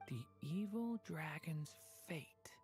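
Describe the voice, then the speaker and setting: A man's voice: a little high, animated, slow pace, a little mellow, faint music behind. A man voicing an earnest, worried young dragon boy in human form, hesitant, in a video game. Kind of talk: video game character dialogue.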